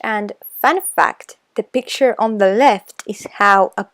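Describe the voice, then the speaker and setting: Slow, hesitant Argentinian teen girl. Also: mid-range voice, a bit bright. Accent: Argentinian Spanish. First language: Spanish. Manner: slow, hesitant, self-conscious, many pauses. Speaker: booktuber. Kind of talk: casual voice-over vlog.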